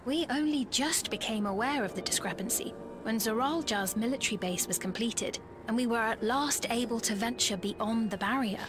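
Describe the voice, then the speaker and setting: An actress playing a gracious, composed queen in a video game, speaking in a measured, formal manner. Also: fairly high pitch, noisy background, lightly acted. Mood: calm, explanatory. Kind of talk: scripted video game cutscene acting. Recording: orchestral game music behind.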